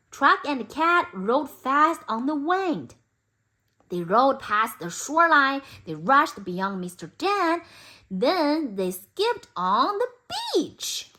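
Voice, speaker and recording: Animated woman reading aloud, high and bright. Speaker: storybook reader. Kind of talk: expressive read-aloud for toddlers.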